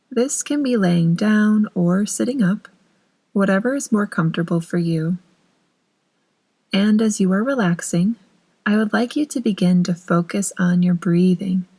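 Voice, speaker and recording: A woman leads a guided meditation at a very slow pace. Her voice sits at a medium pitch, and she gives soothing, unhurried instructions, leaving long pauses between phrases. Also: darkish tone, husky.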